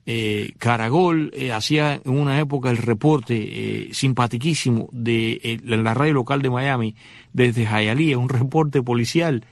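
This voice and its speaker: A man's voice: medium pitch, measured pace, creaky, no echo, studio quality. A man hosting a radio talk show, talking casually and directly to the listener, full of fillers and asides. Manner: conversational, many fillers, addresses listener directly. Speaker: radio host.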